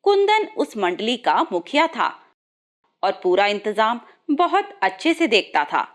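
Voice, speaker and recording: Woman narrating a story, lively high voice. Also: a little slow. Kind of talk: scripted moral-story narration.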